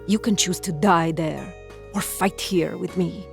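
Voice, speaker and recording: A woman's voice: lowish voice, measured pace, creaky, over music. A woman voicing a proud warrior priestess of ancient Egypt in a video game cutscene, grave and commanding.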